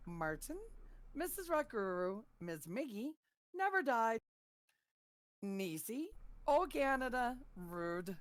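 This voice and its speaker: Woman co-host breezily reading names. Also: fairly high pitch. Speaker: podcast co-host. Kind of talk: reading a thank-you list of supporters.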